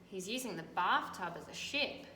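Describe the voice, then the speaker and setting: An Australian woman teacher reading a picture book aloud and gently pointing out details in the pictures. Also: medium pitch, animated, moderate pace. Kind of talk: storybook read-aloud with commentary on pictures. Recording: echoey room, faint background noise.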